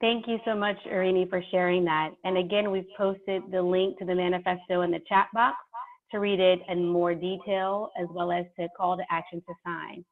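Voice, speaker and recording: A woman's voice: on the low side, flat delivery, moderate pace. A woman moderating an online event who reads polite, scripted introductions between speakers. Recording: tinny phone-like audio.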